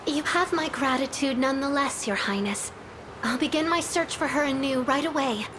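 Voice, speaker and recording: A woman's voice: sits high, normal speed, background noise, close to an everyday voice. A woman playing a loyal, caring young noblewoman and devoted sister in a video game, speaking with polite formality. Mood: concerned, polite. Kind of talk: scripted video game voice acting.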